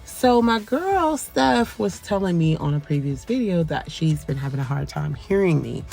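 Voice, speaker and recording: A woman giving a soft-spoken voice-over for a nail tutorial, polite and relaxed, rambling with frequent ums. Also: on the low side, normal speed, vocal fry. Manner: soft, quiet, polite phone-etiquette voice. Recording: voice-over with faint background music.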